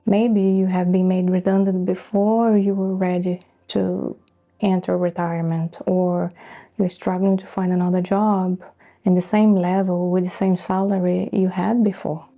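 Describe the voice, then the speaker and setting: A middle-aged woman from Brazil whose first language is Portuguese, speaking thoughtfully to camera in a soft, breathy way with pauses. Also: fairly low pitch, level tone, narrow, tinny audio. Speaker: vlogger. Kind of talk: reflective personal talk to camera.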